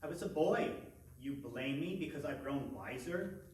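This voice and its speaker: A man's voice: medium pitch, animated, moderate pace, a little mellow, close to an everyday voice. An actor playing a defensive, hardened younger man questioning his fiancée in a radio play. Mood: defensive, wounded. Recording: echoey room, distant, faint noise.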